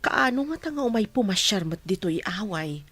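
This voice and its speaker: An actress playing a doting, lonely older mother in a radio drama, speaking softly and plaintively. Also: mid-range voice, expressive, normal speed, faint music behind. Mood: tender, wistful. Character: doting, lonely older mother missing her grown child.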